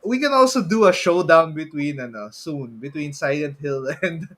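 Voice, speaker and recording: A man's voice: fairly high pitch, expressive, normal speed, a bit bright. A man with a Filipino accent co-hosting a podcast in casual, chuckly chat, often hesitating and repeating himself. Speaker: podcast co-host. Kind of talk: casual chat about video game movies.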